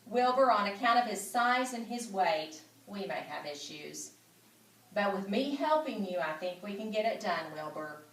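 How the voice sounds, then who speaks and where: A woman voicing a polite, dryly critical, weary aging female spider in a children's storybook, in a measured way. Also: medium pitch, expressive, a bit bright. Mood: critical, confiding. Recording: roomy echo, distant microphone.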